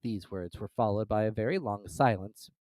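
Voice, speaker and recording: A narrator giving an expressive, dramatic reading of a classic children's story. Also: medium pitch, normal speed, no echo, studio quality.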